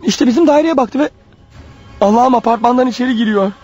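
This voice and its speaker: An actor playing a paranoid, desperate killer on the run in a radio drama, thinking aloud in an agitated, urgent manner. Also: male voice, very high-pitched, fairly quick, breathy edge, lo-fi sound, soft background music. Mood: anxious, watchful. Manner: agitated, urgent, talking his thoughts out loud. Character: desperate, paranoid fugitive killer, a married man. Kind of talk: radio drama acting with spoken-aloud thoughts.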